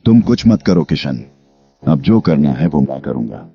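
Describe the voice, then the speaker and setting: A very low, commanding ghost of a village headman, acted out by a man for a cartoon moral tale. The delivery is theatrical and stern, swinging widely in pitch. The sound is a little dull, with music clearly behind it. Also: very animated, moderate pace, exaggerated voice. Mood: solemn. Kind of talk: Hindi animated moral story acting. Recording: dull lo-fi audio.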